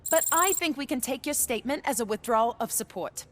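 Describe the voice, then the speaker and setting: A woman's voice: sits high, expressive, brisk. An actress playing a composed, persistent news reporter in a game cutscene, articulate and formal as she puts pointed questions. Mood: pressing.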